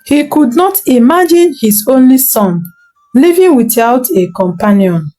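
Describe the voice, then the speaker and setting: A woman tells a folktale slowly and with care. Her voice sits a little high and rises and falls widely as she builds each scene. Soft music plays faintly underneath. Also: low-quality recording. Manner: slow, expressive bedtime-story telling. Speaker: storyteller. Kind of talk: scripted folktale narration.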